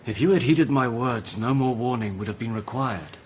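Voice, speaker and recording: Older male priest, acted, stern, admonishing, emphatic, mid-pitched, radio drama, slight background noise. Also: normal speed, lightly acted. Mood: scolding.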